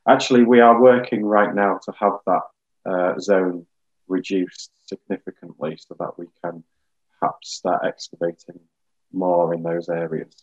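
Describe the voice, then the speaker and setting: A man in his thirties giving measured explanations as a geologist guest on a webinar video call. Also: low voice, fairly even intonation, breathy edge, lo-fi sound. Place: small room, indoors, on a video call.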